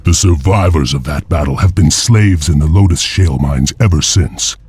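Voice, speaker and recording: An actor playing a solemn, vengeful heir of an enslaved warrior clan, speaking gravely and deliberately in a dramatic video game style. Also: male voice, extremely low pitch, a little mellow, soft background music, exaggerated voice. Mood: solemn, mournful. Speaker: story narrator and lead character. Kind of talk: dramatic scripted game narration and dialogue.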